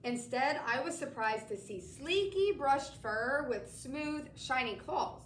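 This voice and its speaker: A woman reading a children's story aloud with expressive narration. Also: high-pitched, slow pace. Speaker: storybook reader. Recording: roomy, distant, slightly noisy.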